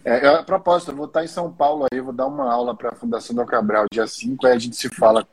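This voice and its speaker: A man's voice: medium pitch, steady tone, fast talker, husky. A man from Maceió with a Northeastern Brazilian accent, interviewing a guest in a casual, joking, self-deprecating way. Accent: Northeastern Brazilian (Alagoas). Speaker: interviewer and speaker. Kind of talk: casual conversation.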